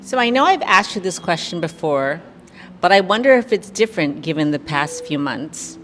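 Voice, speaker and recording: A woman's voice: on the low side, expressive, moderate pace, fairly bright tone. A woman hosting an interview show, asking questions and closing the segment in an encouraging way. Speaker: interview host. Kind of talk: casual interview. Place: restaurant. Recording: roomy reverb with faint background noise.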